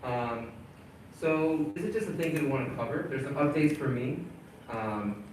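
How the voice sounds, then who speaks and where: A man leading a community town hall, talking in a halting, casual way with many fillers and restarts. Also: medium pitch, expressive, a little hiss or hum. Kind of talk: casual spoken presentation. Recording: echoey hall, voice from far off.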